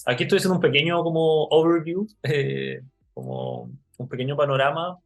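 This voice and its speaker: A man's voice: a little high, very animated, normal speed. A young man from Chile, with a Chilean accent, giving a live online talk about his art and stopping often with fillers and restarts. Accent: Chilean Spanish. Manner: hesitant, many fillers and restarts.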